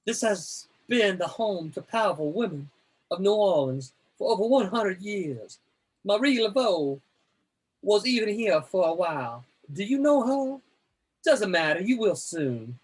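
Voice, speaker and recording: A man voicing a scheming older woman in a comedic dramatic reading, with a smooth, coaxing, theatrical manner. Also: high-pitched, big pitch swings, unhurried, exaggerated voice, poor audio. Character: scheming older woman recruiting young women.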